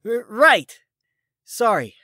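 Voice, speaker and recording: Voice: mid-range voice, very animated, slow pace, pushed and bright, dry recording, clean studio sound, heavily acted. A performer playing an earnest, defiant teenage boy recruit in a fan audio drama, a little hesitant. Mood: nervous, puzzled.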